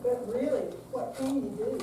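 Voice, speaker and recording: Distant mic, noisy room, woman acting supportive inquisitive friend, fairly high voice, emphatic scripted reading. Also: normal speed, natural voice. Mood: concerned, questioning. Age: older. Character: curious, supportive female friend asking blunt questions. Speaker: reader in a staged reading. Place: senior center room, live performance. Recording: echoey room, distant mic, clear background noise.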